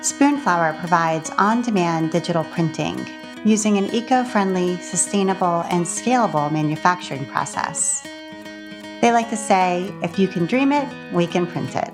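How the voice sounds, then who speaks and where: A woman hosting a podcast, reading an introduction and asking the guest clear questions. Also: lowish voice, lively intonation, normal speed, music in the background. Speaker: podcast host. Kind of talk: scripted intro then interview questions.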